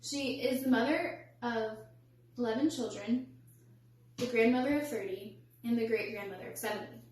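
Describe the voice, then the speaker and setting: A young woman delivering a rehearsed storytelling speech in a measured, formal, carefully enunciated way. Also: a little high, darkish tone. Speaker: student public speaker. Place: indoor echoey room, recorded from a distance. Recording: echoey room, distant mic, faint hum.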